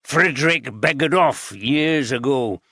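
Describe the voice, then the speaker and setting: A man voicing a blunt, gruff brewery guide in his sixties with a broad Yorkshire accent. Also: medium pitch, lively intonation, slow, sharp tone, no echo, studio quality, voice pushed up, heavily acted. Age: 60s.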